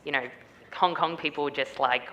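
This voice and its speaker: A woman's voice: deep voice, steady tone, moderate pace, fairly bright tone, dull audio. A middle-aged Australian woman speaking as a guest on stage, chatty and upbeat with many small fillers. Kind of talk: stage interview. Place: stage in a large hall. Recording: echoey hall with faint noise.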